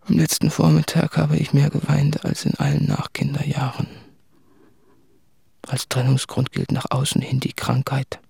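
An actor playing a guilt-ridden male writer in a radio play, confessing in an intense, introspective, articulate monologue with a husky voice. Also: medium pitch, flat delivery, normal speed, warm tone, full character voice. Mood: bleak, confessional. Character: anguished, guilt-ridden male writer addressing his fiancée. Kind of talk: literary radio play monologue from letters and diaries.

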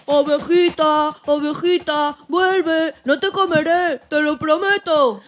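A woman playing a tender old male wolf in a children's radio-play storybook, with drawn-out, expressive delivery. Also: high-pitched. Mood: pleading. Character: old male wolf, tender, gruff but soft-hearted.

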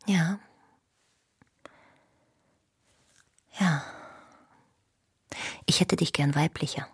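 An actress playing a jealous, scolding lover in a radio drama, cutting and often half-whispering. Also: female voice, deep voice, slow pace, no room sound, heavily acted. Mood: disgusted, hushed. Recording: clearly noisy ambience behind.

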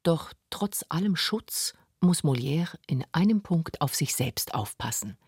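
Very deep, husky female documentary narrator. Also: animated, a little slow, a little mellow, no echo, studio quality. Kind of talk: scripted radio documentary narration.

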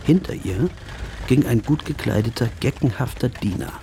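An actor playing a reflective, observant traveller, narrating his story in a measured literary style for a radio play. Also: medium pitch, animated, vocal fry, noisy background. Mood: calm, observant. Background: music.

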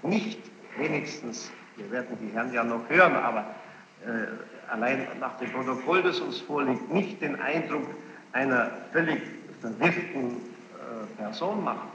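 A man speaking as a presiding judge, questioning a witness in a stern, insistent, formal and halting way. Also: medium pitch, expressive. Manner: formal, long-winded, halting sentences. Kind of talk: courtroom questioning. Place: large reverberant courtroom. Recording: muffled, noisy, echoey archival courtroom tape. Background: clear tape noise and room ambience.

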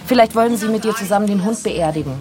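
Woman acting scornful, fast-talking, fed-up woman. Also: medium pitch, music in the background, natural voice. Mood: scornful, taunting. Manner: accusing, biting, insistent. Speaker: actor. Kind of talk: radio drama dialogue.

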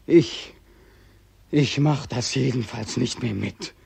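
Husky, despairing young war returnee. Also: male voice, medium pitch, takes it slowly, darkish tone, no echo, heavily acted. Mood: bleak, weary. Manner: anguished, rhetorical, repeating himself. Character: despairing war-returned young man Beckmann, bitter and exhausted. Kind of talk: German radio drama acting.

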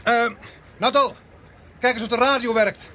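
A man playing a grim, determined police inspector in a radio drama, giving clipped orders and pausing as he thinks. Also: extremely high pitch, steady tone, bright, forceful tone, exaggerated voice. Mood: brooding, businesslike. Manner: authoritative, clipped orders with hesitant pauses. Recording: noisy, street and traffic ambience.

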